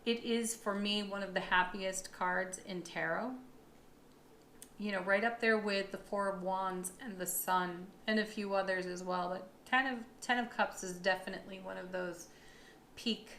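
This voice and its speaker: A woman's voice: medium pitch, steady tone, moderate pace, a bit bright, slight background noise. A woman with a slightly froggy, tired voice gives a tarot reading, upbeat and affirming, with thoughtful asides. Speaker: tarot reader. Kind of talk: monthly astrology tarot reading. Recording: echoey room with faint ambience.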